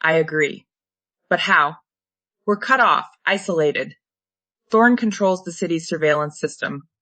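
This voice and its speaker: A woman's voice: lowish voice, unhurried, bright, forceful tone, no echo. A woman playing a worried but determined tech-savvy fugitive in a screenplay table read.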